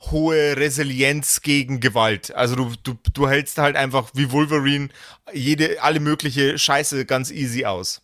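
Male game master, mid-pitched, dry recording; colloquial, blunt explanations in casual tabletop chat. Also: steady tone, measured pace, a bit bright, no room sound. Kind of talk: casual tabletop character-creation discussion.

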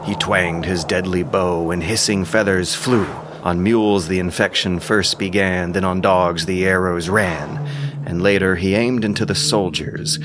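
A man's voice: deep voice, noisy background. A man delivering solemn, declamatory scripted narration of an epic in an audio drama.

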